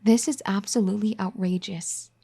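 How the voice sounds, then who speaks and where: A woman voicing a firm but caring young wife who scolds and then gently pleads in an audio roleplay. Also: medium pitch, steady tone, moderate pace, creaky, breathy edge. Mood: scolding, sassy. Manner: bossy teasing scolding that turns gentle and pleading. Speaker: ASMR roleplay performer. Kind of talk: audio roleplay addressed to the listener.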